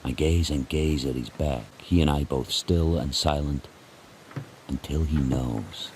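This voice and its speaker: A man's voice: very deep voice, a little mellow. A man voicing an intense, devoted sled dog narrating its thoughts in terse, solemn, incantatory phrases for a radio drama. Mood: watchful, intent. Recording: noisy rushing ambience behind voice.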